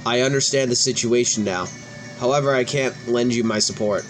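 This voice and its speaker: A man's voice: mid-range voice, fairly quick, low-quality recording. A man hosting a let's play, reading game dialogue aloud with casual commentary and stumbling over words now and then. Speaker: host of a let's play channel. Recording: music playing behind the voice.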